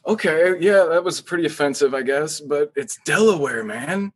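A man's voice: a little high, expressive, normal speed, slightly rough. A man playing an anxious, pleading young wizard in an improvised comedy sketch, stammering and repeating his words.

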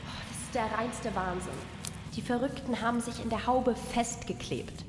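Woman doing a bossy cynical lady foreman in a radio drama, high-ish voice, snappy and scornful, big hall reverb. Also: moderate pace, close to an everyday voice. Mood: alarmed, commanding. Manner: brusque, scornful, commanding. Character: bossy, cynical female worker microbe boss inside a cow, foul-mouthed. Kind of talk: scripted radio play acting. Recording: long hall reverb, faint noise.

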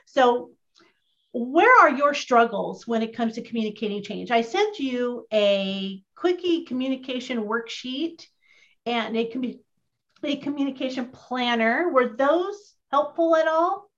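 A woman's voice: high-pitched, expressive, a little slow, fairly bright tone. A woman hosting a casual online training chat, upbeat and chatty, often putting questions to her audience. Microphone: video call. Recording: muffled lo-fi call audio.

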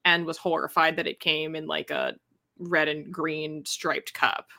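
Wry woman chatting, slightly low voice. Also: level tone, measured pace, vocal fry, sharp tone. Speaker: book podcast host. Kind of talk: casual chatty book discussion.

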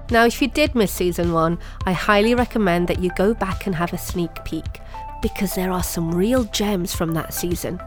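A woman hosting a podcast, introducing and interviewing in a conversational style. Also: mid-range voice. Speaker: podcast host and online school founder.

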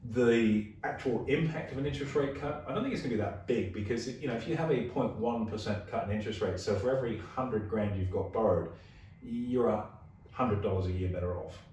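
An Australian man hosting a casual finance show, his voice husky and hoarse today, talking chattily with frequent restarts. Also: fairly low pitch, brisk, darkish tone, a little hiss or hum. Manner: chatty, restarts with repeated words. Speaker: host. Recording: echoey room, distant mic.

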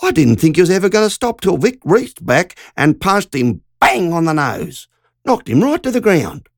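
A woman's voice: lowish voice, very animated, normal speed, no echo, studio quality, voice pushed up. A narrator voicing Margie, a shaken country woman who tells a frightening story in an urgent, breathless rush. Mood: indignant.

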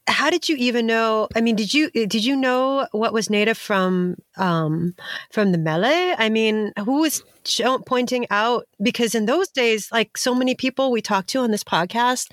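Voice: mid-range voice, normal speed, fairly bright tone, no echo. Casual podcast host, dry close sound. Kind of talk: casual interview chat.

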